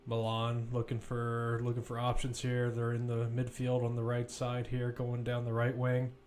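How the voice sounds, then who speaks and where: A man giving live play-by-play football commentary as a commentator, measured but lifting when attacks build. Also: mid-range voice, completely flat delivery, a little mellow, a little hiss or hum.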